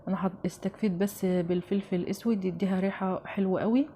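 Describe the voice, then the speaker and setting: Mellow-voiced Egyptian woman explaining recipes. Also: medium pitch, fairly even intonation, fast, slight background noise. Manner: chatty, addresses viewers familiarly. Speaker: home cooking show host. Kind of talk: casual step-by-step recipe narration.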